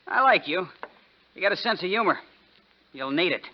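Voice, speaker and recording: Resentful stepson: young, flippant and hungover, animated delivery, muffled 1940s radio recording with clear noise. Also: male voice, high voice, a little slow. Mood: flippant, amused. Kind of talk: 1940s radio drama acting.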